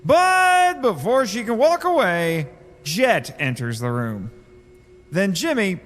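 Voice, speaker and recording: A sardonic crash survivor acted by a man tells his story in an audio drama. The voice is fairly high and swings noticeably in pitch, with wry, deliberate phrasing. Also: fairly bright tone, long reverb tail. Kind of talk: first-person audio drama narration and dialogue.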